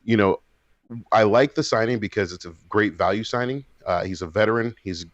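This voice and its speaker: A man co-hosting a sports podcast, giving his opinions in a measured way with fillers. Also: lowish voice, dry recording, highs cut off. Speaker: podcast co-host. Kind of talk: casual sports talk.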